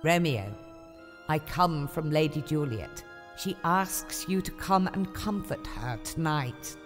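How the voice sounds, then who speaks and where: An anxious nurse, theatrical. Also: female voice, deep voice, expressive, takes it slowly, soft background music. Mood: urgent. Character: Juliet's anxious older nurse and stern Lady Capulet. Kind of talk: dramatized storybook dialogue.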